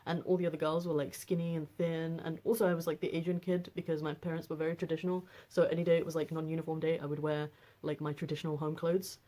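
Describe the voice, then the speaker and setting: Deep-voiced young woman rambling casually. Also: little pitch movement, quick pace, dark tone. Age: 20s. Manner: rambling, frequent fillers and restarts. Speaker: vlogger. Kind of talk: casual personal vlog.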